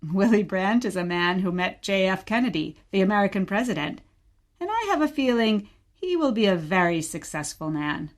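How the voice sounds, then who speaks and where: A woman acting a gentle, patient mother who tells stories of her childhood in a scripted radio play. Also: medium pitch, lively intonation, normal speed, natural voice. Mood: patient storytelling. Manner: patient, storytelling.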